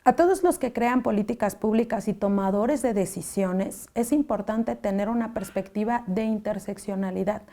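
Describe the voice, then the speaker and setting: A woman advocate giving a measured interview statement, listing demands one by one. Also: mid-range voice, warm tone.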